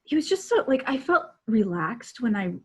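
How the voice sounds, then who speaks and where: Woman, highish voice, effusive, halting repetitive phrasing, casual podcast co-host, video call. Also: big pitch swings, husky. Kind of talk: casual book chat. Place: indoors in a home room, on a video call. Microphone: video call microphone.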